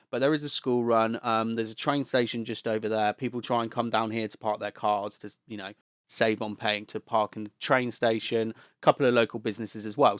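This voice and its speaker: A young man with a cold and a nasal voice, chatting casually through a product review at his home desk, rambling with plenty of fillers and restarts. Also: mid-range voice. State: has a cold, sounds nasal. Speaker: tech reviewer. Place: home desk setup beside a busy street.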